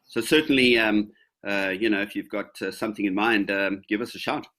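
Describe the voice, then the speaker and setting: A man's voice: on the low side, fairly quick, fairly bright tone. A man in his fifties answering questions on a video call, casual and hesitant, with many fillers and restarts. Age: 50s. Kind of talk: casual webinar Q&A.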